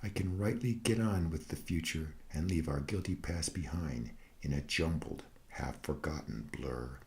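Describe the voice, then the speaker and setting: Very deep-voiced man reciting solemnly. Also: a little slow. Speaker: reader of own satirical prose piece. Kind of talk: scripted dramatic recitation, mock-meditative monologue.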